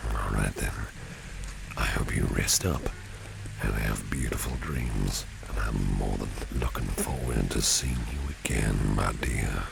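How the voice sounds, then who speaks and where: A man playing a flirtatious, protective businessman boss in a soft, slow, soothing ASMR roleplay, with a deep, gravelly near-whisper. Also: heavy background noise, exaggerated voice. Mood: tender, protective. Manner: slow, soothing, teasing, repeats phrases softly.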